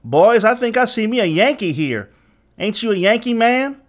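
A man's voice: high-pitched, sing-song, moderate pace, voice pushed up. A man voicing a young, swaggering Confederate gang leader in a storybook, cruel and mocking, with a twangy American Southern accent. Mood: gleeful menace. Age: young adult.

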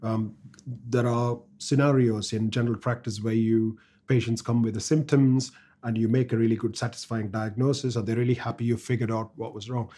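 Man with a mellow voice, first language Tamil. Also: medium pitch, flat delivery, a little fast. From India. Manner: earnest, anecdotal, with frequent restarts. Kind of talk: conversational discussion.

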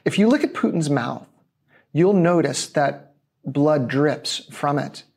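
A man's voice: a little high, animated, takes it slowly, creaky, a little mellow, slightly rough. Grave, theatrical male-voiced spokesperson. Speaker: military spokesperson in a played video. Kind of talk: dramatic scripted statement.

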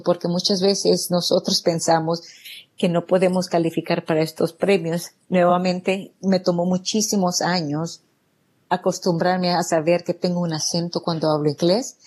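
Woman guest, low-pitched, earnest and grateful answers. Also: a little mellow. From El Salvador. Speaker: guest, real estate business owner. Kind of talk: interview answers.

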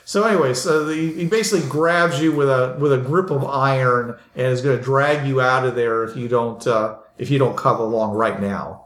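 A man running a tabletop game as game master, talking in a rambling, halting way with many restarts. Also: mid-range voice, steady tone, fairly bright tone, roomy sound.

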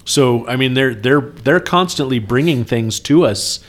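A man's voice: mid-range voice, lively intonation, a little slow. A man co-hosting a trucking company podcast, chatting casually in an earnest, explanatory way and often checking for agreement. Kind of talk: casual workplace talk with anecdotes.

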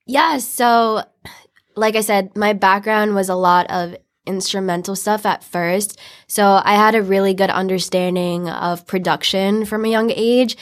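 Female musician guest, mid-range voice, relaxed wording, informal storytelling in an interview setting. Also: medium pitch. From United States, New England. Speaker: interview guest, singer and producer. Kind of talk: casual interview chat.

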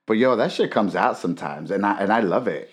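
A young man co-hosting a casual podcast and teasing his co-host in a playful, animated way. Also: fairly low pitch, brisk, husky. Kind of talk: casual chat. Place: Inglewood, California.

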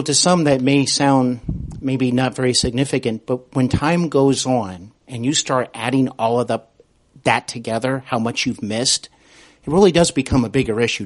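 A man hosting an interview in a conversational, courteous way, with deliberate, halting phrasing. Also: medium pitch. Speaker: podcast host, interviewer.

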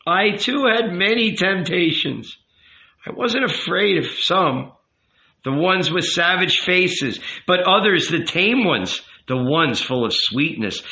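A man's voice: a little high, pushed and bright, voice pushed up, full character voice. A man voicing an old rabbi in an audiobook, tender and earnest, coaxing and emphatic as he counsels. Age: older. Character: old rabbi uncle, tender, pleading, gently commanding confessor. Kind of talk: dramatic audiobook character reading. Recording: roomy, echoing, slightly dull sound.

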